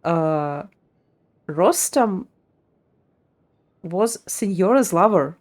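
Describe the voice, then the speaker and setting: A woman's voice: lowish voice, animated, extremely slow, darkish tone. A woman livestreaming a game, reacting casually and thinking aloud.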